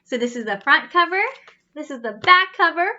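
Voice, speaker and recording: A woman reading a storybook aloud to children, pausing in a teacherly way to explain pictures and ask questions. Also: sits high, animated, pushed and bright. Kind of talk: animated read-aloud storytelling with asides. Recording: slightly dull, lo-fi sound.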